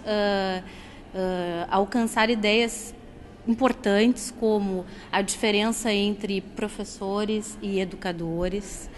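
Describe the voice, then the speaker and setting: A woman's voice: mid-range voice, slight background noise. Hesitant woman teacher, reflective. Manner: thoughtful, hesitant with frequent fillers. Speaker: teacher, group facilitator. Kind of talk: interview answer.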